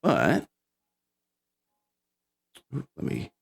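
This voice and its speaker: A man narrating a tabletop game as game master, with a halting, hesitant delivery.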